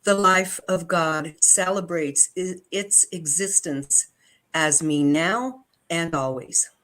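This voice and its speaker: A woman reading affirmations and spiritual texts aloud in a measured, devotional way. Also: lowish voice, fairly bright tone. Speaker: affirmations and scripture reader.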